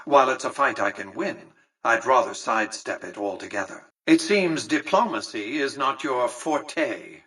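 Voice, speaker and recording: A man's voice: mid-range voice, expressive, normal speed, hardly any pauses, sharp tone, breathy edge, far from the mic. A man voicing a video game character, an imperious, calculating older tycoon who speaks in a condescending, precise and formal way. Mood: stern, reproving. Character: imperious, calculating older male tycoon commanding a robot army.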